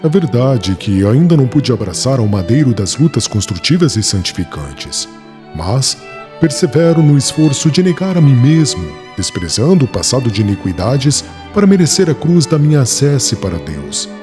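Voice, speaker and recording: A grave, contrite young man who once hunted others and now humbles himself, played by a male voice actor. His tone is dark and mellow, and his delivery is formal and weighty, rising and falling widely. Faint music sits beneath this audiobook reading. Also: medium pitch, sing-song, moderate pace, natural voice. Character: remorseful young man, a former persecutor turned penitent.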